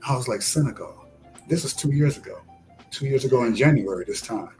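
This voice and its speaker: A man telling a story in a lively, casual way and acting out the voices of the people he quotes. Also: medium pitch, fairly quick, faint music behind. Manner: animated storyteller who acts out quoted voices. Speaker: podcast co-host. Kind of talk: casual chat.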